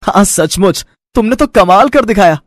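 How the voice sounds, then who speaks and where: Man acting young urban husband, high voice, lively swooping pitch, English-sprinkled speech, moral-story character. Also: normal speed, no echo, studio quality. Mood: amazed. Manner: mixes in English words. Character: young husband, urban, easygoing son of the family.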